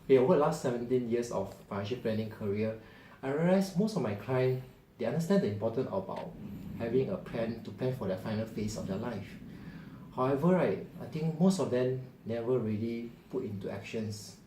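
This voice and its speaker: A man's voice: medium pitch, a little fast, dark tone. A middle-aged man with a Singaporean English accent, a financial planner and author making an earnest, conversational appeal to his viewers. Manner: earnest, loose and conversational with frequent restarts. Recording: echoey room with faint ambient noise.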